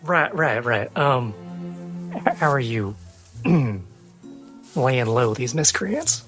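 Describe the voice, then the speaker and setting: A man's voice: medium pitch, expressive, extremely slow, fairly bright tone, faint music behind, voice pushed down. A man playing a wary, politely awkward adventurer in a tabletop game, hesitant and stammering. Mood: awkwardly polite. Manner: hesitant, stammering, repeats words.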